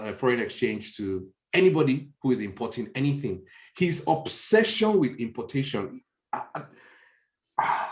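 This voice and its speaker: A Nigerian man with a mid-pitched voice talks casually on a podcast. He airs his opinions haltingly, often circling back to repeat a phrase.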